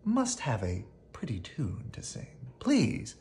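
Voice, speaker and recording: A narrator voicing a cunning little male fox from a storybook, coaxing and full of flattery. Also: medium pitch, takes it slowly, slight background noise, exaggerated voice. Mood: flattering.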